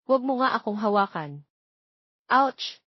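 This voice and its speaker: A woman performs a feisty young single woman in a romance audiobook. She is defiant and indignant, mixing in English, with a slightly husky voice and a somewhat dull recording. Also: fairly high pitch, animated, a little slow, no room sound. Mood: tipsy, defiant. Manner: indignant, stubborn, code-switching into English.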